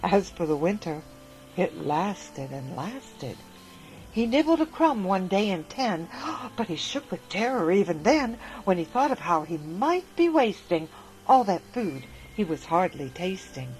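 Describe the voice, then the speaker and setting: Slow, gentle woman reading a rhyming story. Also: on the low side, big pitch swings, poor audio. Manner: gentle, sing-song storytelling. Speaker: narrator.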